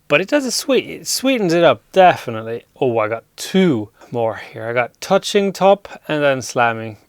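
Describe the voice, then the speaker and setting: A man hosting a casual hands-on audio gear demo, relaxed and appreciative as he thinks aloud. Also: medium pitch, moderate pace, clean tone, dry recording, studio quality. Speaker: host demonstrating audio gear.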